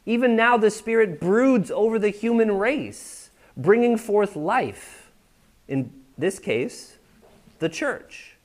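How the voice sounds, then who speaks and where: A man's voice: sits high, expressive, slow pace. A man preaching a sermon in a church, talking with the congregation in a conversational way and often checking that they are following. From United States. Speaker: pastor. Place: church sanctuary.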